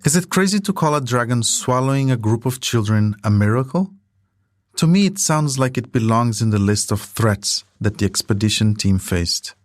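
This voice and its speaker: A man's voice: medium pitch, lively intonation, moderate pace, dry recording. A man hosting a podcast, narrating a scripted documentary.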